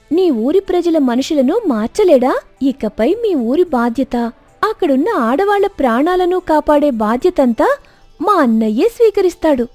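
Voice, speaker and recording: A woman's voice: high-pitched, warm tone, no room sound. A woman voicing a trusting young sister-in-law in a dramatised moral story, earnest and reassuring.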